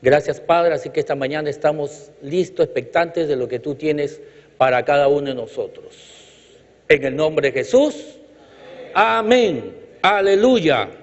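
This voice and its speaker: A man's voice: a little high, measured pace. A male preacher from Chile giving a sermon with emphatic, rhythmic repetition and light jokes to the congregation. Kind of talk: sermon with congregational call-outs. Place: church hall. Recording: reverberant hall, dull lo-fi sound.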